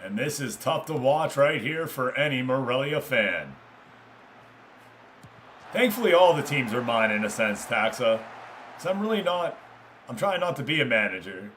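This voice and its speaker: A man's voice: medium pitch, animated, moderate pace, fairly bright tone, a little hiss or hum. A man doing live, informal play-by-play of a football game, chatting casually with viewers between passes. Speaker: streamer commentating a football management game.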